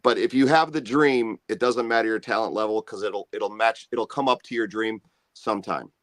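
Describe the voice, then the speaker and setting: A man with a low bass voice, a musician guest chatting in an easygoing, rambling way about his music. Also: moderate pace, dry recording. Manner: easygoing, rambling, self-promoting. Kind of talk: casual interview chat.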